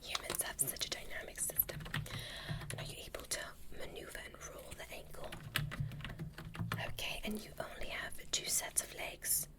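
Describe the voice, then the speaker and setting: A woman voicing a calm, curious alien doctor in an ASMR roleplay, speaking in a gentle, breathy whisper with an unhurried, formal manner. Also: lightly acted. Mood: curious, clinical. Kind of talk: ASMR medical exam roleplay.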